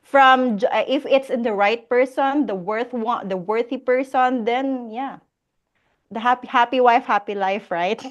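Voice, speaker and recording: A woman's voice: fairly high pitch, unhurried, dull audio. A Filipino woman hosting a casual live Q&A, reading out viewer questions and laughing often. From Philippines. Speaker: host of a live Q&A.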